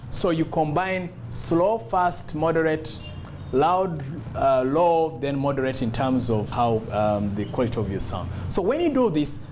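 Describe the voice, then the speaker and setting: A man giving an instructional talk as a public speaking coach, emphatic and deliberate, repeating key words. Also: on the high side, a little mellow, slight background noise. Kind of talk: instructional talk to camera on voice modulation.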